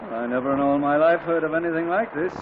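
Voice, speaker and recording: A man's voice: fairly high pitch, quick pace, natural voice. An actor playing an exasperated, blustering middle-aged father in a 1940s radio comedy, heard through a tinny, hissy old radio recording. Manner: blustery, indignant.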